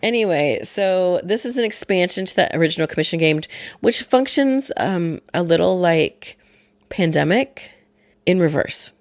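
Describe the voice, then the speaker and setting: A woman co-hosting a casual podcast, her voice gravelly and lower from a respiratory infection, chatty and often correcting herself. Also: animated, normal speed, no echo. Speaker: podcast co-host.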